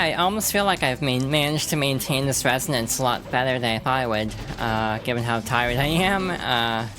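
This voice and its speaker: A woman's voice: a bass voice, fairly bright tone. Rambling woman streamer over game music. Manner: rambling, with many fillers and restarts. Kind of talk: casual let's-play commentary.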